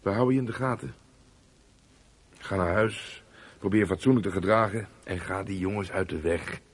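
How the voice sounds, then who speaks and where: A man playing a stern, fatherly policeman in a radio play, husky and admonishing. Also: deep voice. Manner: admonishing, brisk.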